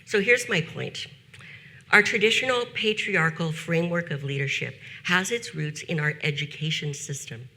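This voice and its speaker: Gravelly-voiced woman teacher giving a talk. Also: low-pitched, sharp tone. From United States. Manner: measured, deliberate delivery with slowed emphasis on key phrases. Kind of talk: prepared conference talk.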